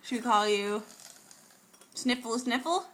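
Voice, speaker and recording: A woman's voice: on the high side, a little slow, a bit bright. A young woman at home, teasing her small child with playful questions in a home video. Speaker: mother filming her toddler.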